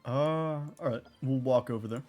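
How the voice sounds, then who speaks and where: A woman's voice: very deep voice, moderate pace, darkish tone, soft background music. A woman giving casual, offhand, wry commentary as she streams a game.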